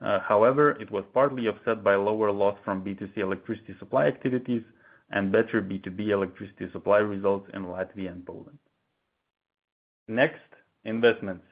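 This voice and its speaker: Tinny conference-call audio, man, lowish voice, formal corporate presenter, measured scripted delivery. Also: fairly even intonation. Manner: formal, measured, reading prepared remarks. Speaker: company executive presenting financial results.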